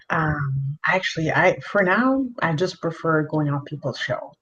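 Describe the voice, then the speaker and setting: A woman's voice: low-pitched, lively intonation, slow, fairly bright tone. A woman chatting casually as a guest on a talk panel. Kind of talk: casual chat. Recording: muffled lo-fi line, clear background noise.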